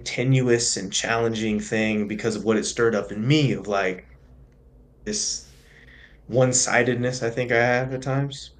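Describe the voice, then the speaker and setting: A man co-hosting a podcast, speaking thoughtfully in casual chat and often restarting phrases as he searches for words. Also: fairly low pitch. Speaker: podcast co-host.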